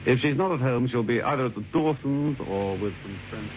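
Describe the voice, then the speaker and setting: An actor playing an outwardly polite, calculating middle-aged husband in an old radio drama, speaking haltingly with small stammers. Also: male voice, medium pitch, close to an everyday voice. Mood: feigning illness. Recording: dull, hissy old radio recording.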